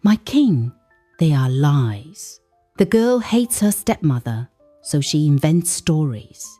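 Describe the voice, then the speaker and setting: A woman's voice: sits low, big pitch swings, slow, warm tone, dry recording, soft background music, heavily acted. A woman voicing a guilty, fearful village woman in a folktale, pleading dramatically. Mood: fearful denial.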